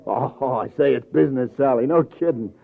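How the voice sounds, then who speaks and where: Casting: a wry, slang-talking American police detective for a vintage radio crime drama, middle register, narrow tinny sound. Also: male voice, medium pitch, brisk, gravelly. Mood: wry, confident. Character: wry, hard-boiled New York police detective. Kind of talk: 1940s-50s American radio drama acting.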